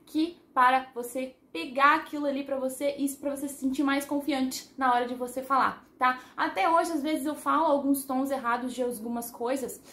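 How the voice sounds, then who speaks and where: Woman, casual teacher to camera, higher voice, chatty and self-correcting, echoey room. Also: steady tone, moderate pace, hardly any pauses, fairly bright tone. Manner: chatty, rambling, self-correcting, laughs at herself. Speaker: language teacher on her channel. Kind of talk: casual explanatory lesson to camera. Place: living in Taiwan.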